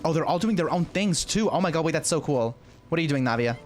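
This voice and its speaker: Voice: mid-range voice, expressive, fast talker, a little mellow. A game streamer giving casual, slangy playthrough reactions, gushing and often repeating phrases. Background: game music.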